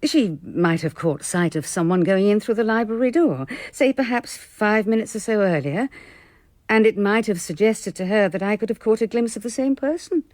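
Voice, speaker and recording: An actress playing a composed, well-bred English married hostess in a radio drama, genteel, deliberate and subtly evasive. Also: female voice, medium pitch, expressive, darkish tone, dry recording, close to an everyday voice. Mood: suggestive, guarded.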